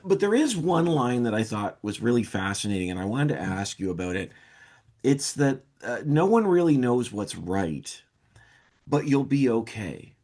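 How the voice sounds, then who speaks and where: A man's voice: medium pitch, sing-song, normal speed, a little mellow. A man hosting a film interview, putting his questions in an appreciative, considered way.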